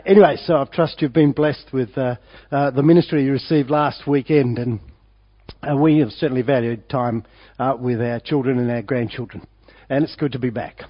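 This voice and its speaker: Croaky older Australian pastor. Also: male voice, medium pitch. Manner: rambling, halting, many fillers and restarts. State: hoarse from a winter cold. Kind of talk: informal sermon preamble. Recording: dull lo-fi church recording.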